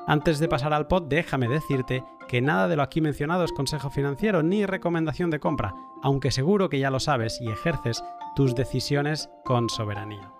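A man hosting a podcast, introducing the episode and then interviewing a guest in an unhurried, measured way with some hesitant restarts. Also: on the high side, music in the background. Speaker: podcast host.

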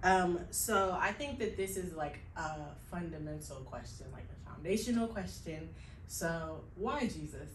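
An echoey recording with faint noise carries an American woman's voice from a little distance. Her pitch is slightly low for a woman, and she speaks as an interviewer in a conversational way. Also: lively intonation, measured pace. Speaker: interviewer, church social media member.

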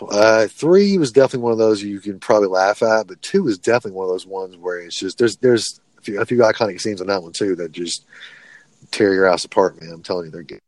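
A man's voice: fairly low pitch, fast, darkish tone, no room sound, rough recording. A man of about forty co-hosting a casual podcast, bantering in a brash, jokey way with plenty of swearing. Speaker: podcast co-host.

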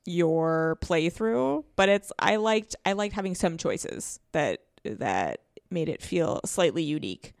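A woman co-hosting a casual podcast about video games, speaking in an informal, animated way. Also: lowish voice, slow, gravelly, hardly any pauses, no room sound, clean studio sound. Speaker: podcast co-host. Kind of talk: casual video game discussion.

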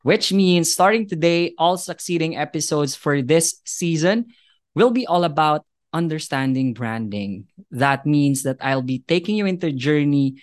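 A man's voice: on the high side, lively intonation, moderate pace. A man hosting a podcast interview, upbeat and enthusiastic, speaking in long, structured sentences that sound prepared. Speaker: podcast host.

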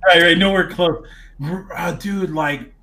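A man's voice: high-pitched, steady tone, measured pace, vocal fry, bright, forceful tone. A man who works as a college professor, talking in a casual podcast chat. He explains ideas like a teacher and mixes in laid-back slang.

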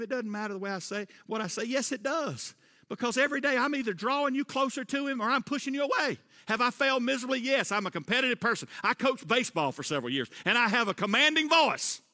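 A middle-aged pastor preaches in a high, bright voice that climbs into loud, hammering refrains. His sermon rings out in a church hall with a long, lingering reverb. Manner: preaching with emphatic rises, loud repeated refrains. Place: church sanctuary.

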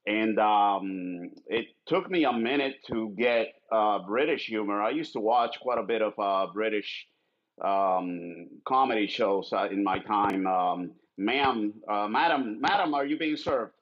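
A man's voice: fairly low pitch, measured pace, lo-fi sound. A man from Cuba talking casually with friends, hesitant and full of fillers. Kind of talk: casual chat.

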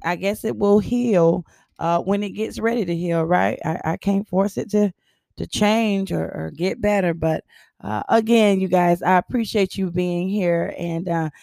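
Woman, older, hoarse, raspy, husky, gravelly, dry close podcast mic, chatty, laughs a lot. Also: on the low side, unhurried, warm tone, no echo. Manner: chatty, laughs often, many ums and uhs. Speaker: podcast host. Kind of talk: casual motivational podcast chat. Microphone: podcast microphone.

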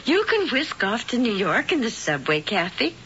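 An actress playing a gushing, falsely sweet and scheming hostess in old-time radio style. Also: female voice, fairly high pitch, very animated, pushed and bright. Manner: effusive, theatrical, drawn-out endearments. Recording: dull, slightly hissy old radio recording.

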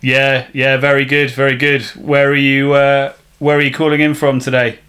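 A man's voice: medium pitch, little pitch movement, moderate pace, bright, forceful tone. A male podcast host with a friendly, rambling way of asking questions. He stops and restarts his sentences often as he looks for the right words. He keeps an easy conversational tone. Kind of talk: podcast intro and interview.

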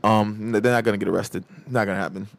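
A man's voice: on the low side, steady tone, quick pace, vocal fry, dry recording. A man hosting a sports talk show, chatting informally and often dropping "man" and "like" into his sentences. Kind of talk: casual sports and pop culture chat.

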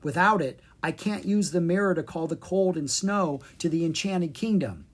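A woman's voice: on the low side, normal speed, breathy edge, voice pushed up. A woman voicing a haughty, irritable winter troll princess in a children's storybook, curt and commanding. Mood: grave.

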